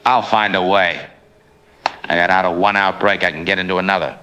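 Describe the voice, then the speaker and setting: A man's voice: low voice, steady tone, a little fast, sharp tone, slight background noise. An actor playing a determined man set on rescuing his sister in an audio drama, terse, intense and resolute.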